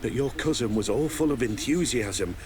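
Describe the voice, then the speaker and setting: A man's voice: mid-range voice, expressive, normal speed, crowd noise, lightly acted. An actor playing an anxious, evasive guard in a video game, stammering and deferential as he reports to his superiors. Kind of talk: video game dialogue acting.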